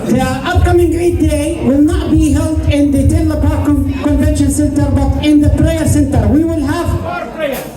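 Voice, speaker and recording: A man with a high voice, an imam addressing election candidates at a community event, speaking haltingly and repeating phrases as he finds his words. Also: fairly even intonation, background noise. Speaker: imam of a prayer center. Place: large indoor hall at a public event. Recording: echoey hall, distant mic, crowd murmur.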